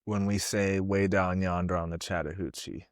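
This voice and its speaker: Brief: male host, low voice, curious and joking, dry sound. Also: little pitch movement, normal speed, dry recording. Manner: curious, asks questions, joking asides. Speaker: podcast host. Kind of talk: casual podcast conversation.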